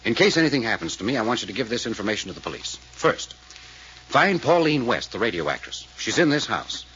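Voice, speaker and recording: A man's voice: medium pitch, sing-song, fast talker, fairly bright tone. A man acting a terse, authoritative, secretive detective in a 1940s-style radio serial, on an old noisy recording. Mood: urgent, instructing. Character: terse, commanding male detective laying a trap.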